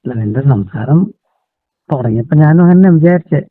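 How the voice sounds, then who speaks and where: A man talks in a casual, intimate way, his voice husky and fairly high. It comes through a thin, echoey telephone line. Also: animated, brisk. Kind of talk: casual intimate phone chat. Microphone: telephone call. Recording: tinny phone call, echoey.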